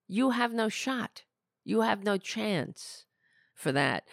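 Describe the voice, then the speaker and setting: A woman's voice: on the low side, gravelly, no echo, clean studio sound. A middle-aged woman hosting a podcast, losing her voice, talking in a rambling, sardonic, blunt way. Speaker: podcast host. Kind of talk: casual political commentary.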